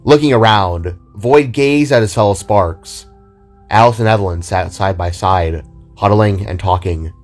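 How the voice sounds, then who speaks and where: A male narrator reads a scripted audio drama. His voice sits on the low side, and soft music plays behind him. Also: unhurried. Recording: music under the voice.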